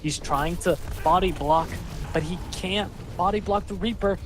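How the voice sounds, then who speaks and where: A male esports caster with a voice a touch higher than most men's, calling plays over faint background music. Between the action he drifts into rambling, self-mocking asides. He sounds like he is broadcasting from a home streaming setup. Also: moderate pace. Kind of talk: live game commentary.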